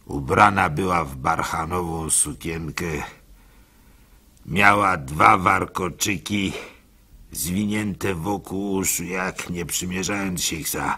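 Radio play lead: naive village simpleton, slow-spoken and gravelly, theatrically halting storyteller. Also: male voice, sits low, pushed and bright, full character voice. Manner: theatrical, halting, with stammered repeats, sighs and chuckles. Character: gullible, simple-hearted village fool recounting his life. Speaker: actor narrating a radio play. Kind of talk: dramatic first-person monologue in a radio play.

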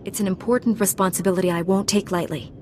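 A woman playing a calm, dutiful young knight heroine in a video game, earnest and steady. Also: mid-range voice, fast, faint music behind, close to an everyday voice.

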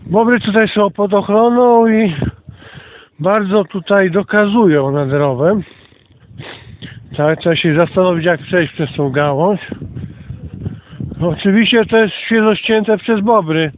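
A man with a high-pitched voice chats casually as he vlogs a walk outdoors. The sound is thin and tinny like a phone line, with some light noise around him. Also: measured pace, long pauses. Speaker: vlogger.

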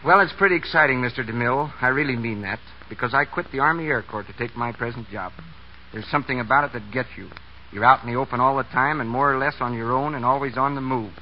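A man's voice: medium pitch, fast. An American man, a bus driver, speaking plainly and folksily as a guest in a scripted 1930s radio interview. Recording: dull, noisy 1930s radio recording.

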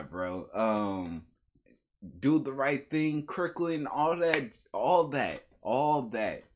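A young man with a husky voice reacts casually from a bedroom. His delivery is slangy and repetitive, unhurried but with lively swings in pitch. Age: young adult. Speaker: reaction video host. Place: small bedroom, indoors.

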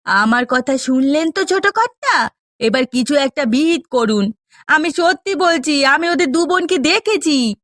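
A man voicing an alarmed, insistent village woman with a shrill tone in a cartoon ghost story. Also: animated, unhurried, fairly bright tone, no echo, clean studio sound, heavily acted. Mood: insistent, distressed. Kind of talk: animated ghost-story character acting.

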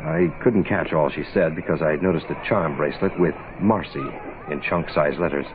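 An actor playing a cool, wry American private investigator, dry and hard-boiled, in 1960s radio noir. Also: male voice, very deep voice, animated, fairly quick, creaky, noisy background, heavily acted. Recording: tinny lo-fi 1960s radio recording, music behind.

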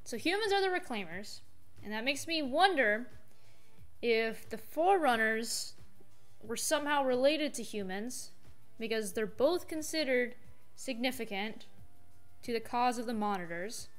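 Woman, casual gaming commentary, fairly high voice, halting and musing, light music behind. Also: lively intonation. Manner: halting, thinking aloud. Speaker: gaming reaction video host.

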